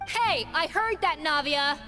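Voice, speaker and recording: A woman's voice: sits high, lively intonation, measured pace. An actress playing a proud, exacting young female chef with a British accent in a video game, formal, haughty and exasperated. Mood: stern.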